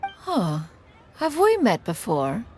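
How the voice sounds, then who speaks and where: A woman's voice: a little high, huge pitch swings, takes it slowly, darkish tone, a little hiss or hum, full character voice. A woman voicing an aloof, dignified, self-assured immortal sage in a video game, with formal, archaic phrasing. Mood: composed. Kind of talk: scripted game voice acting. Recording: music behind.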